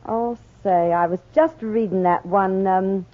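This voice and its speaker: An actress playing a brassy, forward nightclub singer who talks in breezy, ungrammatical slang, in 1940s radio style. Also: female voice, mid-range voice, a little slow, lightly acted. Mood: breezy, inviting. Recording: tinny, band-limited old radio recording.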